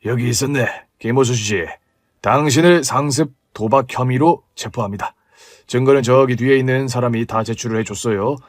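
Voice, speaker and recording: A man's voice: mid-range voice, normal speed, dry recording, clean studio sound, lightly acted. A man voicing a police detective who speaks formally and matter-of-factly.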